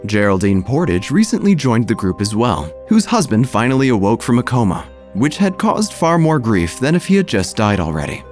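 A man's voice: medium pitch, sing-song, normal speed, few pauses, a little mellow, lightly acted. A man playing a droll, gossipy small-town church narrator, telling a scripted comic story with wry, theatrical phrasing. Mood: droll, confiding. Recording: music under the voice.

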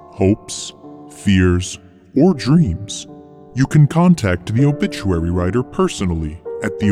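A man reading scripted podcast credits as an announcer, with a deadpan delivery. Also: deep voice.

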